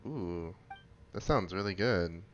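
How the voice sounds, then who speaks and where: A woman's voice: rumbling low, lively intonation, slow pace. A woman giving casual game playthrough commentary, with muttered asides and playful reactions. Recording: dull lo-fi mic, faint noise.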